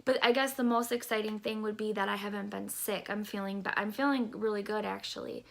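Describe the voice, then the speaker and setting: A woman in her thirties talks casually into her camera from a small bedroom, rambling with lots of fillers and restarts. Her voice has a gravelly fry, and her nose sounds stuffy and congested. Her pitch sits in an ordinary middle range. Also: steady tone, a little fast. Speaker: vlogger. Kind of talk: casual pregnancy update vlog.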